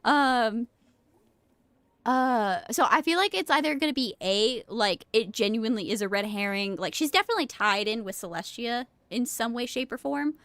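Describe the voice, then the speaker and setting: A woman's voice: fairly high pitch, normal speed, a bit bright, no echo. A woman who is a little sick with a cold, chatting casually as a game streamer, rambling, restarting her sentences and easily amused. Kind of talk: casual live game stream commentary, reading dialogue aloud. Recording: game music behind the voice. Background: game music.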